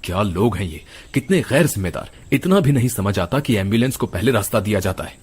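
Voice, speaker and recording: A man playing the stern, righteous, caring ghost of a dead doctor in an animated moral story, speaking in an earnest, scolding way. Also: fairly low pitch, a little fast, breathy edge. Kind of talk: animated Hindi moral horror story acting. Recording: music behind the voice.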